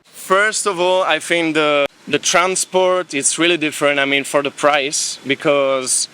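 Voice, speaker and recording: A man's voice: fairly high pitch, steady tone, a little slow, pushed and bright, clean tone, poor audio. A young man with an Italian accent chatting easily in a beach interview about his travels. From Italy. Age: young adult. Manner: chatty, easygoing, laughs between thoughts. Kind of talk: casual street interview. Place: beach, outdoors.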